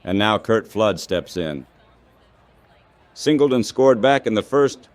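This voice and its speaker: A man calling baseball play-by-play in measured, clipped phrases, like scripted radio commentary. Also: lowish voice, expressive, no echo, some chatter behind.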